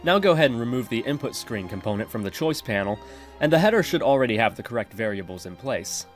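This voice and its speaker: A man narrating a software tutorial in an instructional, step-by-step manner. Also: mid-range voice, animated, quick pace, soft background music. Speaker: tutorial presenter.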